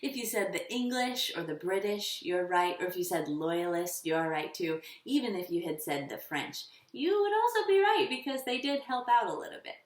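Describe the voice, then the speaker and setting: A woman teaching children, instructive and encouraging, posing questions and pausing for answers. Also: mid-range voice, expressive. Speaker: elementary school teacher. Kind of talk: children's lesson with questions and pauses. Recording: roomy echo, slightly distant mic.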